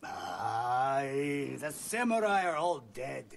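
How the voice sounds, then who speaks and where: An actor playing a gruff, weary, hard-drinking smuggler in a video game, grumbling and reluctant. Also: male voice, mid-range voice, sing-song, very slow, exaggerated voice. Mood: wary, grumbling. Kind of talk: video game cutscene acting.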